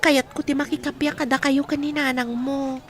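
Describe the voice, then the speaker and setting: A woman's voice: high voice, flat delivery, moderate pace, crowd noise, close to an everyday voice. A woman playing a repentant young village woman eager to make peace, in a radio drama, with an Ilocano accent. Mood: apologetic.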